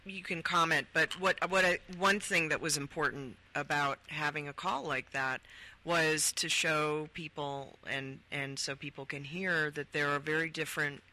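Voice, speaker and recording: A woman author talking as a guest on a radio show. She explains her points earnestly, and her voice is very bright and crisp. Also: low-pitched, fairly even intonation, moderate pace. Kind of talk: casual interview chat.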